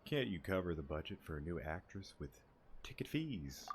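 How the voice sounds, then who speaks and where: Deep-voiced rambling young gamer. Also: male voice, big pitch swings, moderate pace, slight background noise. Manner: rambling, filler-heavy. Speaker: streamer. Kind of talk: casual gameplay commentary.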